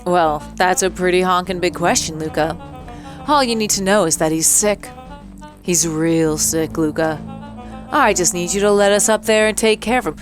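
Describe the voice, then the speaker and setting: A woman's voice: fairly low pitch, normal speed, soft background music, lightly acted. Woman doing a folksy, falsely reassuring man. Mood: falsely warm. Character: smiling, folksy adult man, smoothly sinister town villain.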